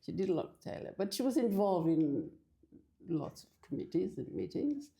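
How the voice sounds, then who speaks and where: An older woman from Fiji, talking in a halting, hesitant way as a guest in a casual church interview. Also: fairly low pitch, sing-song, warm tone. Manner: hesitant, halting with many restarts.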